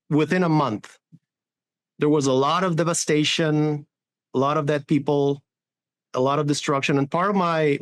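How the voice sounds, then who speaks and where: Earnest man, 40s, a bit high-pitched, clear and smooth delivery, podcast guest. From Honduras. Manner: earnest, many restarts and tag "right?". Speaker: sustainability executive guest. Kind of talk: interview answers.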